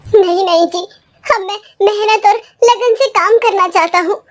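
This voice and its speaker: A high-voiced storyteller voicing a careless younger brother in a village moral tale. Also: measured pace, a bit bright. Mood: pleading. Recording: music under the voice.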